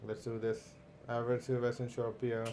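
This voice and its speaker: A man streaming a game, reading the quest text aloud haltingly and muttering "okay" between lines. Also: medium pitch, completely flat delivery, noisy background. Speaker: livestream gamer. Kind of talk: casual let's-play commentary. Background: faint game music.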